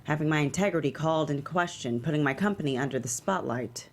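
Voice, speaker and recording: A woman's voice: sits low, quick pace, slight background noise. A woman playing a proud, resentful media mogul in a script table read, dry, indignant and emphatic. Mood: resentful, defensive. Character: proud, resentful female media mogul, defiant under pressure. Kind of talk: table read of a screenplay, dramatic dialogue.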